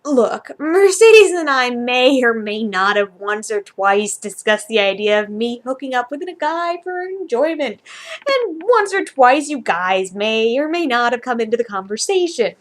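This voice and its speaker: A woman's voice: on the high side, animated, a little slow. A woman voicing a dim, defensive young man in a story, rambling through hesitant excuses. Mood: sheepish hedging.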